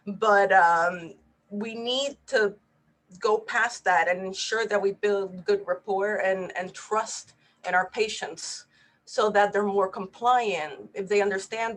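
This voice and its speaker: A woman in her thirties from Puerto Rico whose first language is Spanish, a physician giving an earnest, conversational webinar talk over a video call, with frequent fillers. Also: mid-range voice. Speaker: physician panelist. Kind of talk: webinar presentation.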